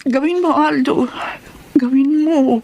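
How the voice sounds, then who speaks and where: An actress playing a devoted, self-sacrificing wife in a radio drama, speaking with solemn, heartfelt delivery. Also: female voice, sits high, takes it slowly, a little mellow, a little hiss or hum, rough recording. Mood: pleading, resolute. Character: devoted, self-sacrificing wife telling her life story. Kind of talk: radio drama acting and narration.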